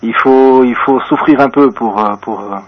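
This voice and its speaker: A man's voice: mid-range voice, moderate pace, crowd noise. A Belgian-born man talking about his band as a radio guest, hesitant and repeating his words. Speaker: band singer and lyricist. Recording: dull lo-fi radio sound.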